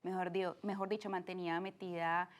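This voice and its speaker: A woman in her twenties with a Colombian accent from Cali, speaking as a podcast guest in a warm, earnest way with frequent "eh" fillers. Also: lowish voice, little pitch movement, brisk. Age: 20s. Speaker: guest, art director. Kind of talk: casual interview.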